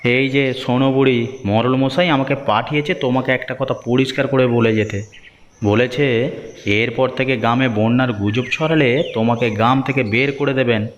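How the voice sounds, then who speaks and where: A man's voice: mid-range voice, steady tone, normal speed, a little hiss or hum, natural voice. Man playing a sneering henchman in long reverb. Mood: mocking threat. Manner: sneering, scolding, obsequious to his boss. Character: mocking, flattering male henchman of village headman. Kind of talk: cartoon moral-story voice acting. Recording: muffled, echoing hall-like reverb, music behind.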